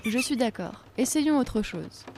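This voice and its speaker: A woman's voice: fairly high pitch, animated, measured pace, noisy background. A woman playing a cooperative workshop colleague, eager to try things, in a conversational audio drama. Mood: constructive. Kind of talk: naturalistic audio fiction dialogue.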